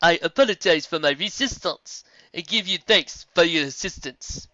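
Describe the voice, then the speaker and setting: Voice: mid-range voice, normal speed, fairly bright tone, no echo, pitched above the performer's own voice, lightly acted. A reader voicing a wise female zebra healer who speaks in formal rhyme, on a dry, slightly dull recording. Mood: solemn. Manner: rhyming, formal and grave.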